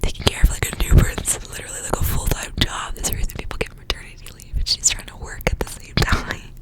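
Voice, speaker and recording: A woman whispering softly as she reads aloud in an ASMR style. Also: fast, rough recording. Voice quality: soft unvoiced whisper. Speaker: ASMR reader and channel host. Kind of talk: whispered ASMR storybook reading with casual asides.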